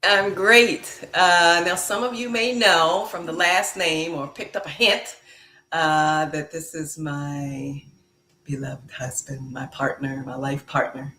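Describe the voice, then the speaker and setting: Slow-speaking woman podcast host. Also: sits low, fairly even intonation. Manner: slow, warm-hearted, with many fillers. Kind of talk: casual podcast chat. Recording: echoey room.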